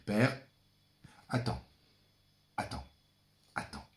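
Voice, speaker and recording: A man performing a theatrical monologue as a brooding son, the ferryman of the dead, addressing his father in a deliberate, dramatic, incantatory manner. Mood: solemn, yearning. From France. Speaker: stage performer.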